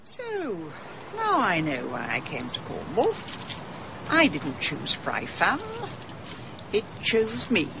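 A prickly woman detective in a radio drama. Her delivery is brisk and dry, with lively swings in pitch. The audio is dull, with clear noise behind her. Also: full character voice. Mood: subdued. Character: prickly, inquisitive woman amateur sleuth.

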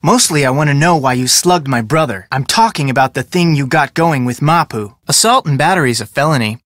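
A man's voice: medium pitch, expressive, brisk, fairly bright tone, no room sound, studio quality, close to an everyday voice. A man playing an inquisitive, level-headed young American amateur detective in a video game, speaking in a casual, probing way. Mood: firm, confrontational. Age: young adult. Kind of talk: scripted game dialogue.

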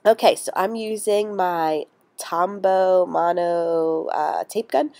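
A woman's voice: lowish voice, slow, dark tone, dry recording. A woman with a cold and a stuffy nose, chatting casually over her craft video with many ums and restarts. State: congested from a cold. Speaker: crafting vlogger.

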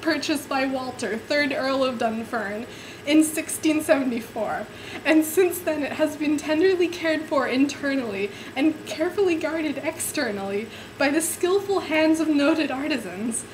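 High-voiced young woman reading aloud. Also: animated, measured pace, slight background noise, low-quality recording. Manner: giggly, stumbles over florid sentences. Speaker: amateur reader. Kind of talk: dramatic reading of an overwrought novel. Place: indoor room at home.

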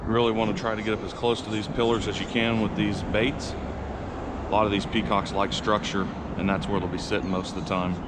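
A man with a fairly low voice narrates his fishing outdoors to a camera, casual and chatty. He bursts into loud, excited cheers whenever a fish bites. The outdoor recording is windy, with clear background noise. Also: a little fast. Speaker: fishing vlogger. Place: outdoors by urban water, windy.

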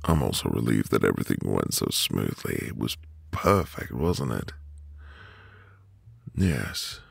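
A man playing a tender, devoted newlywed husband in a soft, intimate, romantic ASMR roleplay with lingering pauses. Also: a bass voice, big pitch swings, creaky, slightly rough, no room sound, heavily acted. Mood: adoring, caring. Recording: music behind the voice. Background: music.

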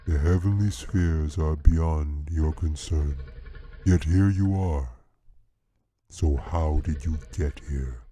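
A man voicing a huge, solemn male bear king with a deep rumbling growl, speaking slowly and gravely. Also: dark tone, slight background noise. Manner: slow, grave, stern.

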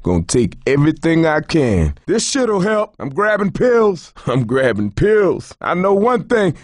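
An actor voicing a big, cocky, good-humored middle-aged survivor coach in a zombie video game, with a folksy Southern American accent and emphatic, salty delivery. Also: male voice, fairly high pitch, sing-song, measured pace, dry recording, clean studio sound. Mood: confident. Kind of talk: video game character voice lines.

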